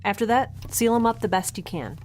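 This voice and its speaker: A woman's voice: mid-range voice, lively intonation, fairly quick, heavy background noise, natural voice. A woman playing a capable but flustered young spaceship heroine in an audio drama, casual and wry.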